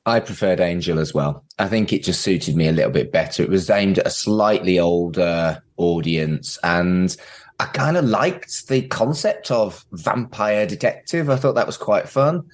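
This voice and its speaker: A man's voice: low voice, moderate pace. A man hosting a podcast in a casual, conversational style. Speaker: podcast host.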